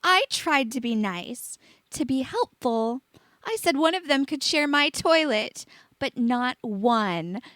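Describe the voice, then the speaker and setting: Woman doing a whiny teen ghost girl, high and sulky, super melodramatic storybook voice, dry sound. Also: expressive, takes it slowly, a bit bright, no room sound, heavily acted. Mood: indignant. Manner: theatrical, sulky, wailing.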